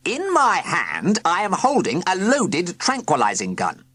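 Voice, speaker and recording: A pompous, self-important villain who draws out every word, as a reader puts him on, with a husky edge. The storybook baddie sounds grand but a little ridiculous. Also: male voice, high voice, wildly swooping pitch, a bit bright, no room sound, pitched above the performer's own voice, heavily acted. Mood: pompous menace. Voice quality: slow, pompous. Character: pompous wicked industrialist villain, man.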